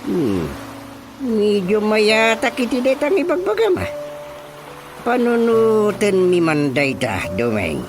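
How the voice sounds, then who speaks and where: An older Ilocano Filipino father in a radio comedy drama. He muses good-humouredly and slowly, his pitch sliding widely up and down, with music underneath. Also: huge pitch swings, exaggerated voice. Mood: musing, amused.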